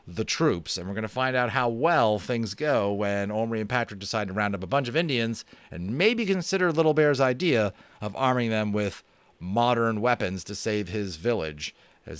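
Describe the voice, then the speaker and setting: A man's voice: lowish voice, a bit bright, smooth, no room sound. A man narrating a children's book aloud, breaking off for chatty asides to listeners. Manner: chatty, aside-prone, self-correcting. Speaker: audiobook narrator.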